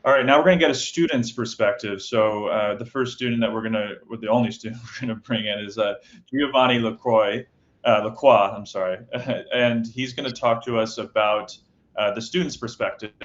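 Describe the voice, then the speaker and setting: A man's voice: lowish voice, fairly quick, fairly bright tone. A man hosting a conversational news interview, putting questions to guests. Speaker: interview host. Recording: muffled audio with clear background noise.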